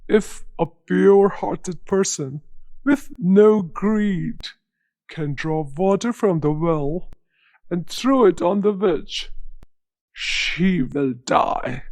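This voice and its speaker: A woman's voice: medium pitch, expressive, slow, a little mellow, no room sound, voice pushed down. A woman voicing a king half turned to stone, telling his tale in a grave, solemn way.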